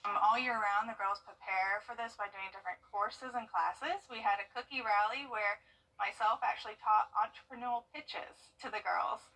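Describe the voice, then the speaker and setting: A woman's voice: mid-range voice, steady tone, normal speed, pushed and bright, recorded from a distance, low-quality recording. A woman, a community engagement manager, explaining things upbeat in a news interview. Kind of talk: interview soundbites.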